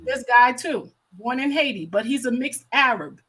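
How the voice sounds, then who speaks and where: An American woman with a high-pitched voice speaks as a livestream host. Her commentary is casual and impassioned. She is emphatic, often repeating phrases to stress a point. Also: animated, normal speed, fairly bright tone, breathy edge.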